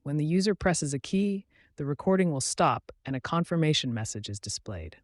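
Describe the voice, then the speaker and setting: A man with a slightly high voice delivers scripted tutorial narration in a measured, instructional manner. The sound is dry and close, as a voice-over recorded right on the mic. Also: big pitch swings, no room sound. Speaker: tutorial host.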